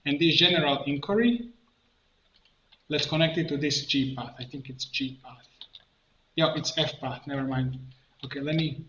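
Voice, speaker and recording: A man presenting an improvised software tutorial, thinking aloud haltingly as he works at a computer. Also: on the high side. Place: indoor room at a computer. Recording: dull, echoey room, clear background noise. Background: keyboard typing and mouse clicks.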